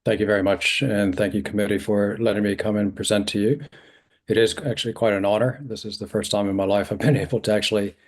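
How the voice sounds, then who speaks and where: A middle-aged man giving an earnest, measured submission to a committee over a video call, with frequent ums and restarts. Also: fairly low pitch, little pitch movement. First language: not originally from New Zealand.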